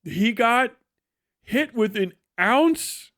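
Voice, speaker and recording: A man's voice: very high voice, animated, drawn-out words, fairly bright tone, no room sound, studio quality. An American man hosting a livestream, talking casually with blunt, opinionated, sweary commentary. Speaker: livestream host.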